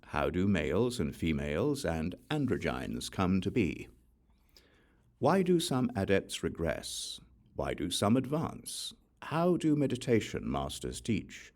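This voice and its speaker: A man reading Buddhist scripture as an audiobook, with measured, deliberate phrasing. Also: deep voice, darkish tone. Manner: measured, deliberate phrasing in short questions and lists. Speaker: audiobook reader.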